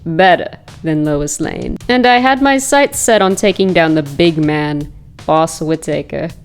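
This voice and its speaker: A woman playing a bitter former journalist turned vengeful villainess, telling her story in a theatrical, sardonic, deliberate way. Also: deep voice, clear, resonant tone. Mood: wistful, bitter. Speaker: comic dub voice actor. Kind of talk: dramatic first-person narration. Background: background music.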